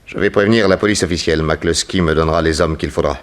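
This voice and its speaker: A man playing a calm, self-assured private detective in a 1960s French radio drama, composed and reassuring. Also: rumbling low, fast. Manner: composed, reassuring, probing with short questions.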